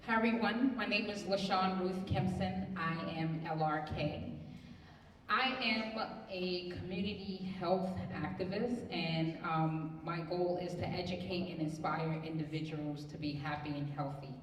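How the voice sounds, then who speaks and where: A woman from Harlem speaks in a low voice, earnest and motivational. She sits on a community panel, heard from far off in a roomy hall with a long echo and light background noise. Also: unhurried. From Harlem, New York. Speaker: panelist, community health activist. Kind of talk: personal introduction at a community panel. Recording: echoey hall, distant mic, some noise.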